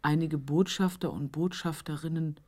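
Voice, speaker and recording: An actress in a radio drama playing a woman who reads an affectionate, florid letter aloud, deliberately, savouring each phrase with pauses. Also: low-pitched, fairly even intonation, a little mellow. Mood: wistful, wry.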